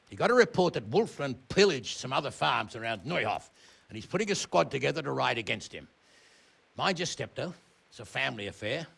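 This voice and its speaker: An actor playing a blunt, commanding older lord in a medieval video game, with a husky, gravelly voice. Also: male voice, medium pitch, a bit bright, heavily acted.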